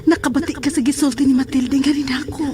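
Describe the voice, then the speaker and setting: A woman's voice: high-pitched, fairly even intonation, fast, a crowd in the background, natural voice. A woman playing a frightened schoolgirl who tells her own story in a horror audio drama. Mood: anxious. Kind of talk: horror audio drama, first-person narration. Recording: music under the voice.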